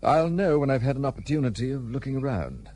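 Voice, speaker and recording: An actor playing a gruff, guarded, well-travelled gentleman in a period radio play, speaking in a dry, formal, old-fashioned way. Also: mid-range voice, lively intonation, fast talker, slight background noise, lightly acted. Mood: reserved, dry. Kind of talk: period radio drama acting.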